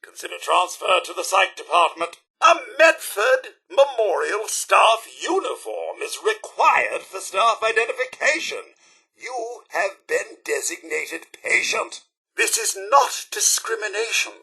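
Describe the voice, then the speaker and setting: Fussy british robot butler for a game, very bright crisp-edged voice, pitch swooping all over. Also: male voice, huge pitch swings, a little slow, heavily acted. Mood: officious, wry. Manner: theatrical, formal, polite, prissy. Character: prim, fussy, loyal male robot butler. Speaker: voice actor. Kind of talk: video game companion voice lines.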